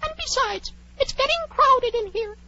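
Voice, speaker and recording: Tiny very high-pitched pompous mouse. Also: female voice, moderate pace, loud music behind the voice. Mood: bossy, pompous. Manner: pompous, schoolmasterly. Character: prim, huffy little talking mouse, lecturing and easily offended. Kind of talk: 1940s radio drama acting. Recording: dull old 1940s radio recording.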